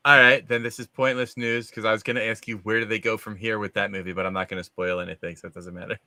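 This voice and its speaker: A man's voice: lowish voice, brisk, pushed and bright, no room sound. A man hosting a movie discussion show on a video call, chatting casually. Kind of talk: casual chat.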